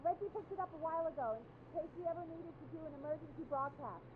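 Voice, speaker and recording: Radio producer, very high voice, friendly, earnest, practical, chatty, game drama, faint noise. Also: female voice, rapid-fire, phone-quality sound. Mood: practical, uneasy. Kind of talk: dramatic video game voice acting.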